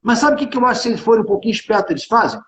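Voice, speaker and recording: A man's voice: high-pitched, sing-song, rapid-fire, a bit bright, slight background noise. A man with a Carioca accent from Rio de Janeiro, speaking emphatically and informally on a business livestream, often repeating phrases. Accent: Carioca Brazilian Portuguese. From Rio de Janeiro, Brazil. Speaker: tax consultant and executive speaking on a livestream. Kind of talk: informal live business discussion. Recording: echoey room, dull band-limited livestream audio.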